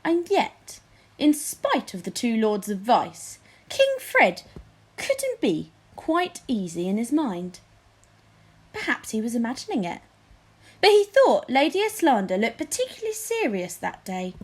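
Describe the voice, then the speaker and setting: A woman's voice: fairly high pitch, very animated, a little slow, husky. A woman narrating a children's storybook expressively, as a teacher reads aloud.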